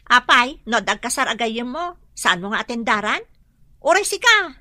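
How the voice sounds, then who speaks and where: A woman's voice: a little high, very animated, normal speed, sharp tone, no room sound, full character voice. A woman acting a flippant young daughter who talks back in an Ilocano radio drama. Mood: defiant.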